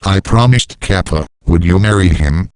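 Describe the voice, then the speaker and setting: A storyteller voicing a farmer father from a folk tale, speaking in a plain, deliberate way. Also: deep voice, a little mellow, clean tone, no room sound, pitched below the performer's own voice, lightly acted, rough recording. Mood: pleading. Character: anxious, grateful old-time farmer father.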